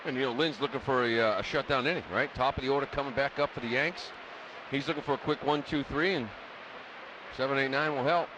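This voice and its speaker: A man's voice: mid-range voice, fast, background noise. A man giving informal, conversational color analysis on a live baseball radio broadcast. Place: stadium broadcast booth.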